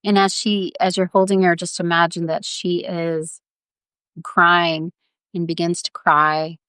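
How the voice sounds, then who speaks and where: A woman's voice: lowish voice, little pitch movement, a little slow, fairly bright tone, smooth, no room sound, studio quality. A woman leading a guided visualization in a tender, earnest, coaxing way, improvising as she goes and repeating her phrases. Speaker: guided meditation leader.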